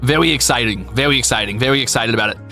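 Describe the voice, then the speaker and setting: A man streams casually over soft background music. His voice is bright and forceful with plenty of gravelly fry. He talks candidly and playfully, swearing and mocking himself as he answers viewers. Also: mid-range voice, rapid-fire. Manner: candid, playful, profane, self-deprecating. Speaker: VTuber streamer and voice actor.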